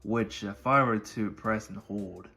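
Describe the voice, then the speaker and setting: A man presenting a collection in a casual showcase style, with halting, wordy phrasing. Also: on the low side, bright, forceful tone. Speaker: video creator presenting a collection. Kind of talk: casual product showcase narration.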